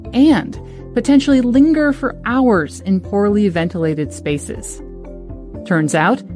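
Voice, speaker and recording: Woman hosting a news bit, brisk punchy read, soft music under it. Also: medium pitch. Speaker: news host. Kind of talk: scripted news intro. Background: faint music.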